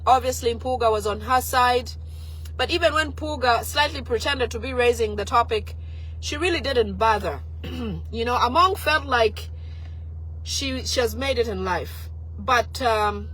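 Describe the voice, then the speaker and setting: A woman, hoarse and raspy from a flu, giving critical political commentary on a live stream and answering viewers' comments. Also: a little high, fairly bright tone, poor audio. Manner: talks to viewers and reads their comments aloud; pointed and critical, with frequent fillers and restarts.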